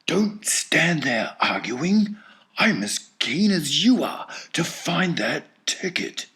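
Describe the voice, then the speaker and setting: A man voicing an excitable old grandfather over sixty who talks in breathy, trembling whispers. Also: fairly high pitch, slow, voice pushed up, exaggerated voice. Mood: excited whisper.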